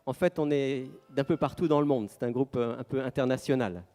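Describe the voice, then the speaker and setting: A man's voice: mid-range voice, steady tone, mellow. Man introducing singers in a church. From France. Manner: friendly, halting with fillers. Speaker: group member introducing singers. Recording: long church hall reverb, music behind.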